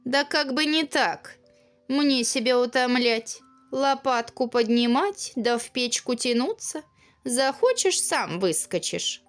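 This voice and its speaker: A woman's voice: sits high, expressive, slow pace, soft background music, voice pushed up. A woman voicing a lazy, haughty young girl in a storybook tale, whiny and dismissive. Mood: haughty refusal.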